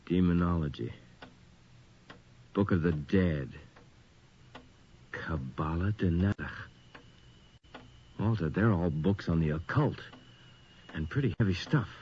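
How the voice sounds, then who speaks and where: Casting: an uneasy, curious investigator, highly expressive, on a muffled vintage radio drama recording. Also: male voice. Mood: fascinated. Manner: expressive, intent, questioning. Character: inquisitive, wry, uneasy male investigator. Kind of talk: 1980s radio horror drama acting. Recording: dull, noisy old radio recording.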